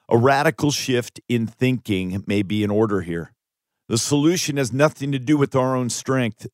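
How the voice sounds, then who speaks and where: A man reading his own audiobook as scripted narration in a preacherly style, earnest and emphatic, with deliberate pauses. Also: mid-range voice, no room sound, clean studio sound.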